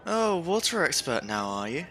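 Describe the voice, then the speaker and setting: A man's voice: fairly high pitch, very animated, moderate pace, a bit bright. An actor playing a wry, kindly Victorian working man at a water pump in an audio drama, down-to-earth and teasing.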